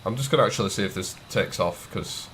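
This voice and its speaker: A man's voice: on the low side, fast talker, a bit bright, slight background noise. A man with a cold, sniffling, casually talking through a game tutorial as he clicks and types. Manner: thinks aloud while clicking and typing, loose and chatty. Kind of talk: casual screen-recorded tutorial.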